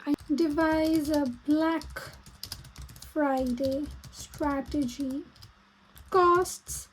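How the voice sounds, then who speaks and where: High-pitched Pakistani woman thinking aloud. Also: slight background noise, poor audio. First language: Urdu. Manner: thinking aloud, halting while typing. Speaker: vlogger and small business owner. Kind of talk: casual plan-with-me vlog talk.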